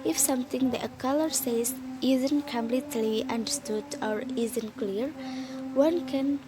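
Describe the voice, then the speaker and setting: A young woman reads a scripted student presentation. Her voice sits a little high, and her delivery is careful and slightly halting. There is light noise on the recording. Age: young adult. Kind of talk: scripted lesson presentation, read aloud.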